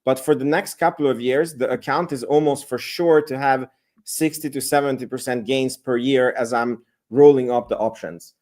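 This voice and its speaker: A man's voice: mid-range voice, fairly even intonation, moderate pace, darkish tone. A man from Hungary, hosting a casual livestream and talking loosely with many restarts. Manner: casual, rambling with frequent restarts. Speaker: host.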